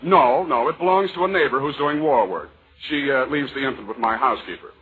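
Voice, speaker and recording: An actor playing an earnest, persistent and self-assured suitor, proper and eager, in 1940s radio drama style. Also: male voice, mid-range voice, brisk, natural voice. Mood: pleased, brisk. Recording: muffled old radio recording.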